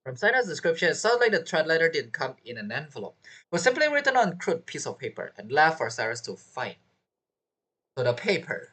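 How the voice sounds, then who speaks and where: Animated, joking game streamer. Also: medium pitch, brisk, breathy edge. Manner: joking, teasing, talks back to characters. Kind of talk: casual gameplay commentary.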